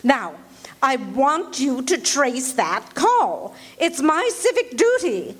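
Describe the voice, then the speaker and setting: A woman acting a high-strung, imperious wealthy lady in a live radio play, urgent and breathless. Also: sing-song, takes it slowly, exaggerated voice. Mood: exasperated. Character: anxious demanding wealthy woman, invalid. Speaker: radio drama actor. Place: RV resort hall before a live audience.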